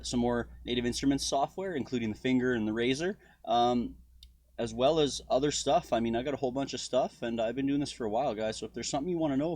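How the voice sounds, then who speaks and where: A man's voice: mid-range voice, a little mellow, dry recording. A man talking casually and informally to viewers as a music production tutorial host. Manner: informal, chummy address to viewers. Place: indoors at a computer desk.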